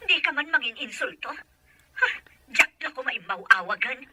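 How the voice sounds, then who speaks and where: A woman's voice: sits high, lively intonation, normal speed, noisy background. A woman playing a jealous, easily offended partner in a serial drama. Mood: irritated. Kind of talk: Ilocano radio-style serial drama acting.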